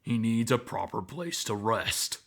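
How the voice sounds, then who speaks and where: Man as a low, restrained wolverine. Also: lively intonation, gravelly, full character voice. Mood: tense restraint. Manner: measured, restrained. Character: gruff wolverine man, protective, holding back anger.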